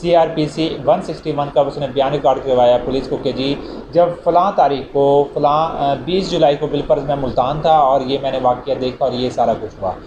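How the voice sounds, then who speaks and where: A man giving a legal lecture. He reads English legal clauses slowly, then explains them casually with everyday examples. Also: medium pitch, steady tone, highs cut off. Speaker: law lecturer. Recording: audible background noise.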